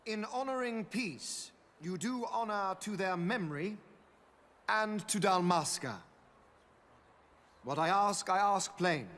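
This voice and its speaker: An actor playing an eloquent, calculating imperial consul, speaking in a stately, formal, theatrical manner for a video game. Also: male voice, high voice, a bit bright. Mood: solemn, rousing. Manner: stately, eloquent, measured oratory. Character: smooth, regal adult male imperial consul, eloquent and calculating.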